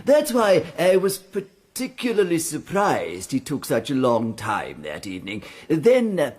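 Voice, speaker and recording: An actor playing a respectful, meticulous manservant giving evidence in a period radio drama, formal and hesitant, with an English accent and husky voice. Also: male voice, on the high side, wildly swooping pitch, measured pace, darkish tone, soft background music, full character voice. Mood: hesitant, puzzled.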